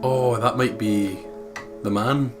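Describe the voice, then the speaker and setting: A man's voice: medium pitch, slow pace. A man co-hosting a let's play, acting out script lines expressively and chatting casually between them. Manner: expressive, emotive line reading. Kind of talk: dramatic read-aloud of game script with casual chat. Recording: game music behind the voice. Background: video game music.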